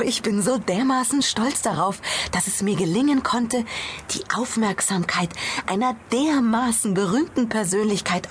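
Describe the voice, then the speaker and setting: A woman's voice: medium pitch, lightly acted. A woman playing a proud, gossipy and worried hotel owner in a German audio drama, effusive and deferential. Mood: proud, flustered. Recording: ambient noise behind the voice.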